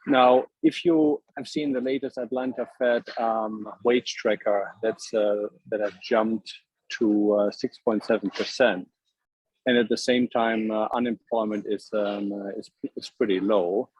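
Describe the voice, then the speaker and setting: A man taking part in a conference call, politely asking an economics question in a hesitant, halting way. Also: on the low side, animated, darkish tone, husky, dry recording. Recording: dull, lo-fi conference line.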